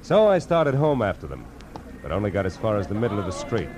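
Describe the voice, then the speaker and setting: An actor playing a world-weary private detective, wry and terse, in a 1940s radio drama. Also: male voice, on the low side, wildly swooping pitch, quick pace, a crowd in the background. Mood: cynical, hard-boiled. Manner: wry, terse, tough-talking. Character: hard-boiled world-weary private detective, kind to kids. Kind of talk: 1940s radio drama, first-person noir narration. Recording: vintage radio recording with music behind.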